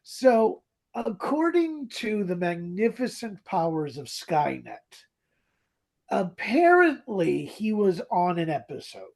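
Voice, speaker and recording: A husky podcast co-host with a very animated delivery, swinging up and down in pitch. The talk is casual and comic, full of playful mock drama, with some creak in the voice. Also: slow. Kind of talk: casual comedic chat about old radio drama.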